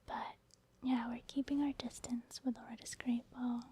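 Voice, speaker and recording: A woman giving soft ASMR commentary over video game play, informal and hesitant as she thinks aloud. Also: on the high side, flat delivery, normal speed, slight background noise. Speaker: gaming ASMR video host.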